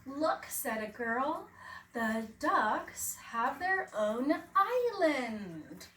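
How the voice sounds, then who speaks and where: A woman reads a picture book to small children at a very slow pace. Her intonation swings widely, with dramatic emphasis in a preschool-teacher manner. She sounds distant from the mic, with some faint noise. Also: high voice, roomy sound. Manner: expressive, dramatic emphasis, asks young listeners questions. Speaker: preschool teacher reading aloud.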